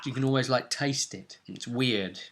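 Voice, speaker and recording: A man's voice: medium pitch, normal speed, fairly bright tone. A man casually recapping a tabletop role-play session as game master, rambling along and often seeking agreement with tag questions.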